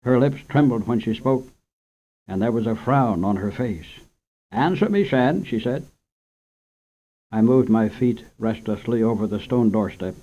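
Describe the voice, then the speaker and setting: A man reading a children's short story aloud for radio in a measured, gentle storytelling manner. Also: lowish voice. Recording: dull lo-fi old radio recording.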